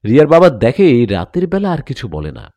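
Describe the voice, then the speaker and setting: Animated, mellow male storyteller. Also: mid-range voice, normal speed, no room sound. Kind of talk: dramatic scripted story narration.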